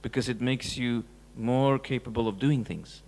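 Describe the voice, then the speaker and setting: A man's voice: medium pitch, normal speed, a little mellow. A man in the audience pressing a speaker with a follow-up question, restarting his sentences as he goes. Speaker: audience member asking a question. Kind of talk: live public Q&A. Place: large lecture hall. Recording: reverberant hall.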